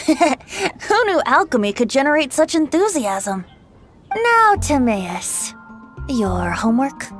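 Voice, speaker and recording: A woman's voice: high-pitched, very animated, slow, full character voice. A woman voicing a playful, teasing boy bard in a video game, with a theatrical, mock-grand manner. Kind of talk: animated video game dialogue. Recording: studio voice over game music.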